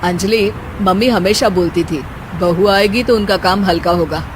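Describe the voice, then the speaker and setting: A woman's voice: mid-range voice, normal speed, noisy background, voice pushed down, close to an everyday voice. A woman voicing an easygoing, caring young husband in an acted cartoon story, casual and conversational. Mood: concerned.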